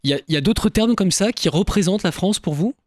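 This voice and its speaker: A man hosting a radio interview in a studio, brisk and chatty, steering his guest with leading questions. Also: high-pitched, lively intonation, a little mellow, no echo. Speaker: radio host. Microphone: studio microphone.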